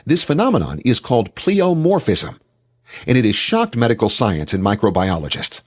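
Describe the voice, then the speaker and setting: Husky man narrating with lively intonation. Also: mid-range voice, normal speed, soft background music. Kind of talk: scripted documentary narration.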